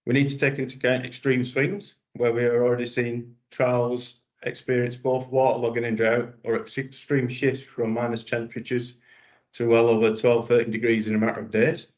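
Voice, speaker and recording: A man presenting as a vegetable breeder in a webinar, speaking in a halting way with restarts. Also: mid-range voice, level tone, a little mellow. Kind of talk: explanatory presentation. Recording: dull lo-fi webinar audio.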